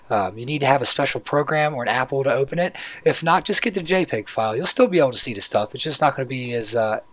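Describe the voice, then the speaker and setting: A man with a hoarse voice hosting a casual, rambling video walkthrough, talking conversationally as he explains what is on screen. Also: mid-range voice, a bit bright. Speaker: YouTube channel host. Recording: dull, lo-fi microphone sound.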